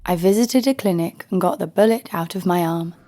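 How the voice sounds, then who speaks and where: A woman's voice: fairly low pitch, a little fast, mellow, close to an everyday voice. A woman playing a tough, sardonic young bounty hunter who narrates an audio drama in a wry, world-weary way. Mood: wry narration. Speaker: narrator and lead.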